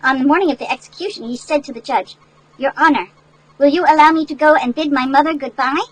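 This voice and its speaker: An older woman reading a picture book aloud to children in an animated, folksy way, with chatty asides that explain things. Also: high voice, fast talker, rough recording. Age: older, great-grandmother. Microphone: headset.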